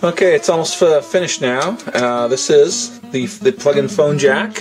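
A man giving a casual hands-on demonstration as a hobbyist builder, in a halting, hesitant way. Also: fairly high pitch, soft background music.

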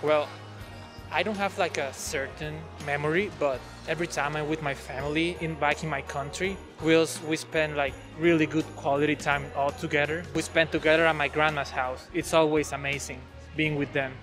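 A man's voice: fairly high pitch, fairly even intonation, moderate pace, music in the background. A young man outdoors in a city plaza, speaking hesitantly and searching for words, holding a mic. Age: young adult. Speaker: street interviewee. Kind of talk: casual chat. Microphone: handheld mic.

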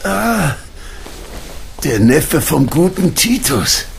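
An actor playing an elderly, ill coin dealer in a radio play, talking tiredly. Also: male voice, a little high, big pitch swings, extremely slow, vocal fry, slight background noise, heavily acted. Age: older.

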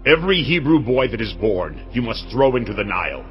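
A man's voice: medium pitch, very animated, fairly quick, close to an everyday voice. An actor playing a stern, ruthless Egyptian king who gives cold commands, in a dramatized audio Bible. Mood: commanding. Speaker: Pharaoh. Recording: dull muffled studio track under music.

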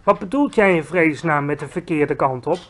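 A man voicing a polite, patient young girl in a storybook reading, asking questions calmly. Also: fairly high pitch, fairly quick, natural voice.

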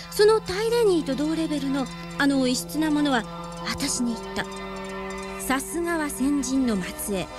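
An actress playing a thoughtful, girlish young heroine in a Japanese sci-fi radio drama. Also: high voice.

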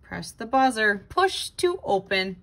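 A woman reading a children's picture book aloud in an expressive, dramatic, exclaiming way. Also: a little high, slow pace, a little hiss or hum. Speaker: storybook reader.

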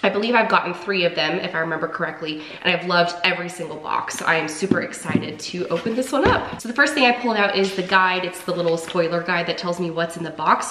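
A woman's voice: fairly low pitch, pushed and bright, slight background noise. A young woman vlogging at home in a chatty, upbeat way, her voice nasal and stuffed up from a cold. She sits close to the camera mic, and the bare rooms around her add a roomy echo. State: congested, stuffed up. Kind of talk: casual vlog talk to camera. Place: home, indoors. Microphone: camera mic at arm's length.

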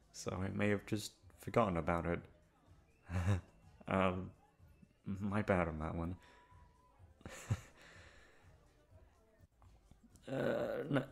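Man acting a low, creaky, bashful young playboy. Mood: sheepish, casual. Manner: halting, stammering, bashful. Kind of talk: romantic ASMR roleplay acting.

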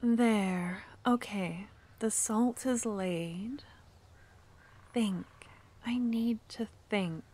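A woman playing a shy, kind-hearted young witch in an ASMR fantasy romance roleplay, speaking softly and hesitantly, often close to a whisper. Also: mid-range voice, extremely slow. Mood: gentle, determined. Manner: soft, breathy, hesitant, often dropping to a whisper. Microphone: close ASMR microphone.

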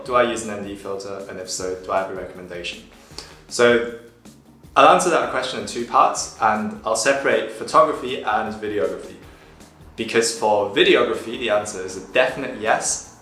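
A young man vlogging to camera from home, casually explaining photography step by step in a relaxed, chatty way. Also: lowish voice. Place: small room at home, indoors. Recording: echoey room.

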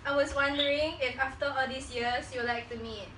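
A woman's voice: high-pitched, fairly even intonation, a little fast. A young woman vlogger chatting casually to camera and making prank phone calls, with a giggly manner. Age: young adult. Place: small living room indoors. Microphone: camera a few steps away. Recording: roomy, noisy, distant camera microphone.

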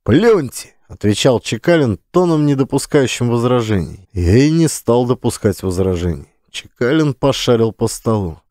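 A man reading a first-person audiobook in a measured, deliberate way. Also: medium pitch, no room sound, studio quality. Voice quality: measured, deliberate, lively intonation. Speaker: audiobook narrator.

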